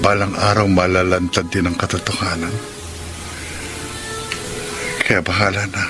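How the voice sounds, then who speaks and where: A man's voice: sits low, flat delivery, measured pace. A Filipino man playing a secretive, anxious and guilty husband in a radio drama. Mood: secretive, brooding. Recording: music bed under the voice.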